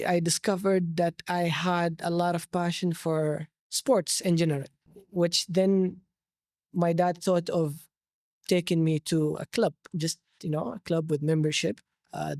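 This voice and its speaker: A man's voice: on the high side, gravelly, a little mellow, no echo. Saudi man telling his story, close dry sound. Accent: Saudi Arabic. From Jeddah, Saudi Arabia. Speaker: interview guest. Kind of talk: conversational personal storytelling.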